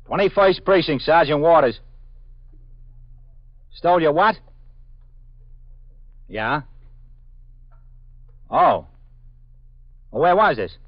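Brisk desk sergeant on the phone, lively pitch, dry 1950s radio sound. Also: male voice, no echo, highs cut off. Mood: questioning. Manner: brisk questioning. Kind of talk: 1950s radio drama acting.

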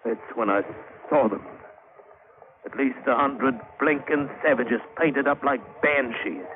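A man's voice: on the low side, phone-quality sound, close to an everyday voice. A man acting a plain-spoken British sailor who spins a wry adventure yarn in colloquial, dramatic 1950s radio style. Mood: suspenseful, wry. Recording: thin, hissy old radio broadcast.

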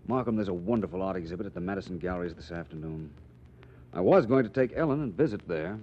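A suave gentleman sleuth on muffled old-time radio. His voice is low and very expressive, with an urbane, musing delivery and dry wit. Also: fast, slight background noise. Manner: urbane, musing, dryly witty. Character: suave, pensive male amateur detective. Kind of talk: 1940s radio drama acting. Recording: dull vintage 1940s radio recording.

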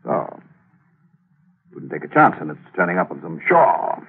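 Deep husky smug hitman, tinny old radio drama. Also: sing-song, heavily acted. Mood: smug menace. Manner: smug, teasing, slow menace. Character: sly menacing male killer, peanut-cracking, mocking. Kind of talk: 1940s radio acting.

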